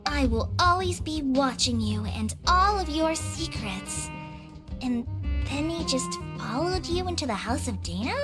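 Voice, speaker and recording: Tiny squeaky game fairy, super high kiddy voice, bubbly, music behind. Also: female voice, lively intonation, unhurried. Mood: puzzled. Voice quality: squeaky, childlike. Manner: bubbly, eager. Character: tiny floating childlike fairy companion, chirpy and excitable. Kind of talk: video game voice acting.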